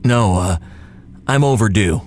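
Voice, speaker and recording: An actor in a radio drama playing a nonchalant, eerie dead radio announcer, matter-of-fact and deadpan. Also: male voice, fairly low pitch, unhurried, slight background noise, close to an everyday voice. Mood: brisk.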